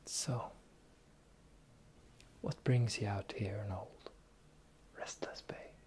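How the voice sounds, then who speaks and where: A sly pirate captain, acted out for a fantasy ASMR roleplay, speaks in soft, breathy whispers. The delivery is very unhurried and theatrical, with a teasing drawl that keeps the listener close. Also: medium pitch, steady tone, close to an everyday voice. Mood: sly, bargaining.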